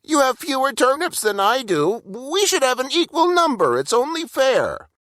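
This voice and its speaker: A man's voice: extremely high pitch, very animated, normal speed, a bit bright, dry recording, studio quality, voice pushed up, exaggerated voice. A man voicing a whiny, indignant younger brother monster for a children's storybook, nagging and scolding. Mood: whining. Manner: whining, scolding.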